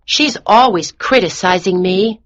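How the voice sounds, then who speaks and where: A woman's voice: mid-range voice, a little slow, dull audio, close to an everyday voice. A woman voicing a blunt, chatty small American boy with a simple, direct manner in an audiobook. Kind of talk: audiobook character dialogue.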